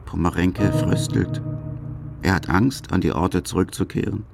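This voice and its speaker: A man narrating a scripted radio documentary in short, deliberate, dramatic storytelling phrases. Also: low voice, fairly even intonation, gravelly. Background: music.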